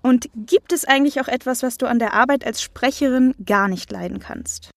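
Woman interviewer, chatty and casual, slightly high voice, very dry recording. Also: moderate pace, creaky, dry recording. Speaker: interviewer, host. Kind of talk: casual, friendly interview questions.

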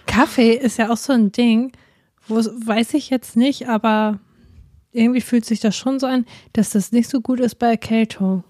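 A woman with a cold chats on as podcast co-host, rambling and trailing into unsure asides. Her voice sits a bit high, and the recording is close and dry with no room sound. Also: fairly even intonation, moderate pace, mellow, dry recording. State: has a cold. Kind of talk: casual chat. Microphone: remote recording, separate from co-host.

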